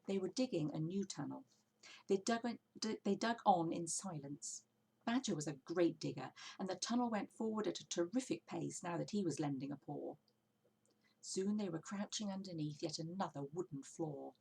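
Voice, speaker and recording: A woman narrating a children's book aloud in an expressive storytelling style. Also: lowish voice, normal speed, vocal fry, breathy edge. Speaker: storybook reader.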